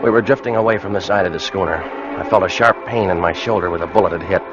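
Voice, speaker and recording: Guy playing serious ship's officer in old radio play, lowish voice, tense and grave, dull lo-fi sound. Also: fast talker, over music, natural voice. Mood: grave narration. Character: earnest, steady ship's officer recounting a haunted voyage. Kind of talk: dramatic first-person narration, 1940s-50s radio acting. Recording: muffled old radio recording, dramatic score.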